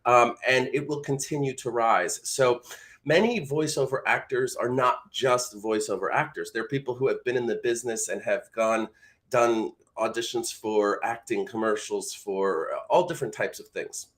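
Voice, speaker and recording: A man's voice: mid-range voice, moderate pace, fairly bright tone. A man hosting an informal instructional live show, explaining things directly to the listener as a teacher would. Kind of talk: informal instructional talk to camera.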